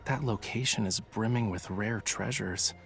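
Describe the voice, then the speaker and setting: A man's voice: on the low side, unhurried, vocal fry, a bit bright, soft background music. A man voicing a languid, playful young artist in an English-dubbed romance game, with a nonchalant, coy way of talking. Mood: musing, coy. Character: languid, teasing young male artist with a secretive streak.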